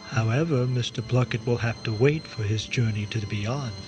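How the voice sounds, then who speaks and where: An actor playing Death as an aloof, stiffly formal gentleman in a radio comedy drama, speaking precisely and deadpan. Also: medium pitch, moderate pace, music in the background, lightly acted. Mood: formal, calm. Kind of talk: old-time style radio comedy drama acting.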